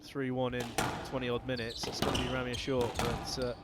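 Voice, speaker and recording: A man's voice: medium pitch, monotone, a little fast. A man commentating on a squash match in an easygoing way, chatting with his co-commentator. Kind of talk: sports commentary. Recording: loud arena crowd and noise behind.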